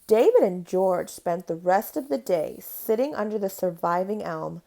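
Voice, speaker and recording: A woman's voice: mid-range voice, a little mellow. A woman reading a children's picture book aloud in a storytelling style.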